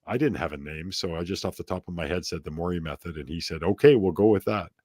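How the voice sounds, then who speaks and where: Very low-voiced man, dry sound. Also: fast talker, darkish tone, slightly rough, no room sound. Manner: rambling, self-deprecating, prone to tangents. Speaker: interview guest. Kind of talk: casual interview conversation.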